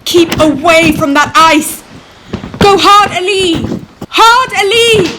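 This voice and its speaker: A woman's voice: very high voice, slow, background noise. A woman acting in a radio play as a resolute, commanding captain of an Arctic expedition ship. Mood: urgent, commanding.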